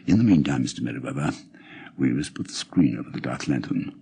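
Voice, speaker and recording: Man voicing a very deep, hushed, commanding detective. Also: lively intonation, fast talker, creaky, husky, exaggerated voice. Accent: British. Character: commanding, calm, shrewd male detective.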